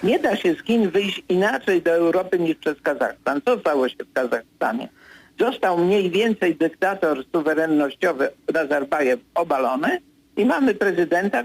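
An older man analyses politics as a guest in a radio studio. His voice sits a little high for a man, with a raspy, gravelly grain. He is emphatic and keeps driving his main points home. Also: dry recording, poor audio.

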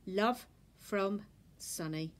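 An eager young boy meerkat voiced by a woman shares letters home in a storybook. The voice is raised and emphatic, with an earnest, unhurried delivery. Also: mid-range voice. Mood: excited.

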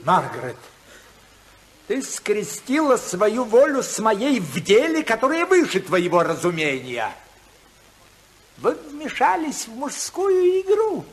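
High-voiced, imperious old tycoon. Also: male voice, huge pitch swings, exaggerated voice. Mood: threatening. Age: older. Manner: imperious, oratorical, slow and emphatic. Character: domineering older tycoon father, righteous and ruthless. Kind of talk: 1950s radio drama acting.

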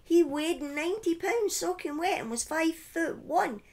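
A middle-aged woman telling a true-crime story informally on a livestream, speaking deliberately with pauses and emphatic repetition, close to a desk microphone. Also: extremely high pitch, expressive. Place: small indoor room at home. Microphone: close desk microphone on a boom arm.

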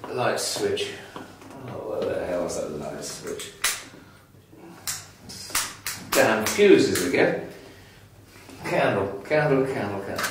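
An actor playing a scheming, chummy English husband in a rambling, repetitive, matey manner, in a 1980s radio drama. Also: male voice, lowish voice, expressive, slow pace, noisy background. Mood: frightened, muttering.

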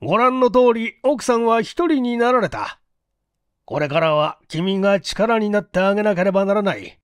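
A woman voicing a composed, courteous male detective chief in an audiobook, explaining in a deliberate, emphatic and formal way. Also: mid-range voice, expressive, no echo, studio quality, voice pushed up, close to an everyday voice. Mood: earnest, encouraging. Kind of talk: storybook dialogue in audiobook reading.

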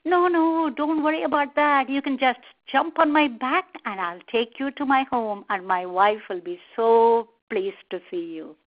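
Woman playing an earnest high-voiced crocodile husband. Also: a little slow, few pauses, highs cut off. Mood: coaxing. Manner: earnest, emphatic. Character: reluctant, loyal male crocodile husband turned schemer.

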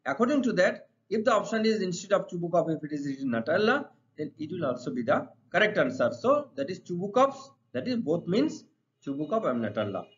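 A middle-aged man with an Indian accent gives a lesson in a fairly high voice that rises and falls dramatically. He puts questions, then answers them emphatically, repeating key phrases. The recording is somewhat muffled and lo-fi. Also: big pitch swings, a little fast. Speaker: English teacher. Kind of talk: exam lesson, reading questions and explaining answers. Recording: dull lo-fi recording.

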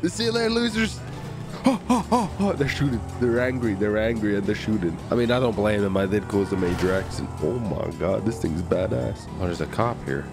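A man giving excited gameplay commentary, taunting playfully, exclaiming and swearing. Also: on the low side, brisk, gravelly. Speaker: gaming YouTuber playing online roleplay. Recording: music behind the voice. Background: music and game vehicle sounds.

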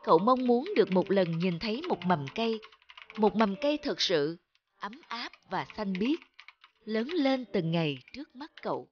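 A woman narrates a storybook tale in a scripted style. Her voice sits at a middle pitch, and the recording is a little dull and lo-fi. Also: big pitch swings, moderate pace, a little hiss or hum.

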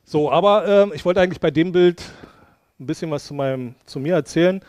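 A man's voice: fairly high pitch, brisk, a little mellow, clean studio sound. A middle-aged man from Brandenburg giving a casual conference talk in a lecture hall, chatty and rambling, with many fillers and restarts. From Brandenburg, Germany. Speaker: conference speaker. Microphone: headset mic.

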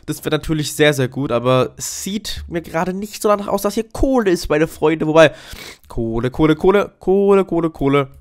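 A man with a fairly high voice and a cold talks his viewers through a video game in a relaxed, chatty way. He keeps up a steady stream of upbeat remarks and fillers as he plays. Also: brisk. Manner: chatty, upbeat, says "so" and "Freunde" often. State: has a cold. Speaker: gaming YouTuber. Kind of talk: casual Let's Play commentary.

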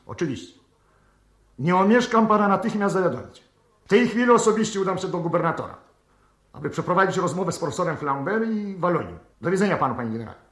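An actor playing a stern, irritable colonel in a 1960s radio drama, with an authoritative, clipped delivery. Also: male voice, sits high. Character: stern, irritated colonel, anti-communist security chief. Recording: roomy vintage radio studio recording.

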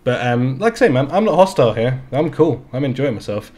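Laid-back London gamer in a headset. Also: male voice, medium pitch, sing-song, warm tone. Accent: London English. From England. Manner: laid-back, joking, drawn-out words. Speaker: streamer. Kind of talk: casual in-game voice chat. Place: small home streaming room.

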